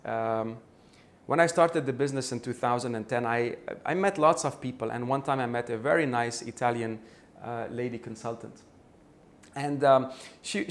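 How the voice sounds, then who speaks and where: Animated Arabic-native businessman telling stories. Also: medium pitch. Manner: animated, acts out anecdotes with sound effects. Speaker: sales trainer guest. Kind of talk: storytelling interview answer. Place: studio set with orange backdrop.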